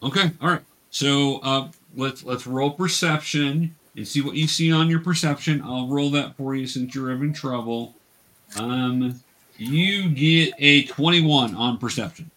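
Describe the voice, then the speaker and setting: Man with a bright voice running a game. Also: mid-range voice. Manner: encouraging, patient, halting with self-repeats. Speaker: game master. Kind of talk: guiding a tabletop role-playing game.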